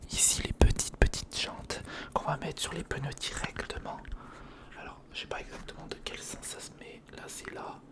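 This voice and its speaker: An ASMR creator narrating an unboxing in a whisper, speaking informally and intimately to the viewer. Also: normal speed. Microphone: sensitive recorder microphone, close.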